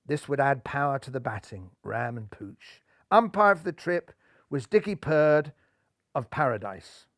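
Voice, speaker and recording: An older man reading a children's picture book aloud in a lively way, seated indoors with a clip-on mic. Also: medium pitch, a little slow, no echo, clean studio sound. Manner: lively, does voices for characters. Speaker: storybook reader. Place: indoor room full of cuddly toys.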